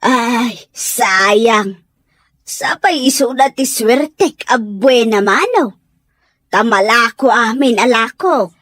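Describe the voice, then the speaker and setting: A woman plays a fish seller in an Ilocano radio drama. Her voice is high and slow-paced, with an Ilocano accent, recorded dry. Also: animated, a bit bright, dry recording, exaggerated voice. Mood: coaxing, sales patter. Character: hawker woman selling fresh fish.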